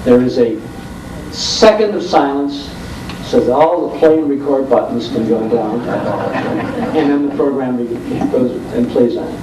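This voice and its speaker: A man's voice: medium pitch, measured pace. A man who hosts a radio show, explaining how he works in a panel talk. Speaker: old time radio show host. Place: convention room before an audience. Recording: roomy, distant, faintly noisy video recording.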